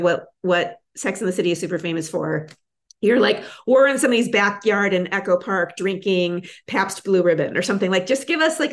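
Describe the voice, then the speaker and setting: Rambling enthusiastic woman giving feedback. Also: medium pitch. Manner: rambling, filler-heavy, enthusiastic. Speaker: host and pitch advisor. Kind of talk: casual feedback chat.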